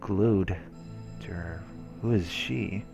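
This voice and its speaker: A man with a deep voice reads visual novel narration aloud as a let's play host. His delivery is measured, with soft game music behind him. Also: vocal fry. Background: game background music.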